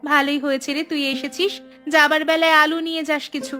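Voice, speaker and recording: Woman acting a bright-voiced, patient village sister-in-law. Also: high-pitched, level tone, fast talker, soft background music. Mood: friendly. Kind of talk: cartoon voice acting.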